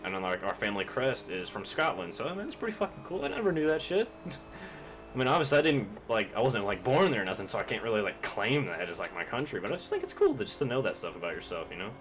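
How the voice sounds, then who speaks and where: A man's voice: fairly low pitch, wildly swooping pitch, quick pace, a bit bright, soft background music. A young man from Kentucky, chatting casually and rambling with plenty of swearing while streaming a game. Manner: rambling, profane, laid-back.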